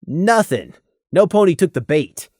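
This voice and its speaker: A gruff yet caring stallion from a storybook, played by a narrator. The delivery is candid and a bit grumbly, in a middle register that moves about freely. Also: medium pitch, sing-song, measured pace, a little mellow, no echo, studio quality. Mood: grumbling. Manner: frank, grumbling, awkwardly candid. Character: gruff but caring male pony companion.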